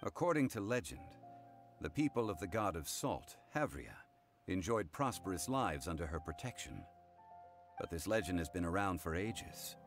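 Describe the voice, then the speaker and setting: Game role: learned, composed gentleman, very low register, formal measured delivery with expressive intonation. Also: faint music behind. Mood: composed, solemn. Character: calm learned gentleman consultant recounting old legends. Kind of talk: English video game voice acting.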